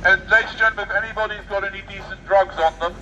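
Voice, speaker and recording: High-pitched British man heckling through a megaphone. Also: steady tone, rapid-fire, muffled recording. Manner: provocative, mocking, rhetorical questioning. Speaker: street speaker debating with a megaphone. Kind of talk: combative public street debate. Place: busy outdoor city street. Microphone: megaphone picked up by a distant camera mic. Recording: megaphone outdoors, distant mic, street noise.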